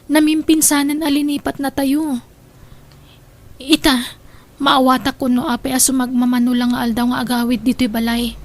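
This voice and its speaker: A hurt, resentful daughter in a radio drama. Her voice is high, and she speaks with plaintive emphasis. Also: level tone, moderate pace, long pauses. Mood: hurt, bitter. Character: hurt, resentful young daughter of an abandoned mother. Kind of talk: Filipino radio drama acting.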